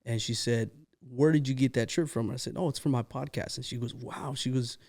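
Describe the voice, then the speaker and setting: A male podcast host with a voice of ordinary middle pitch, speaking in a studio. He keeps the chat upbeat and loose and repeats the guest's answers back to her. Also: steady tone, brisk, a little mellow. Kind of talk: casual interview chat.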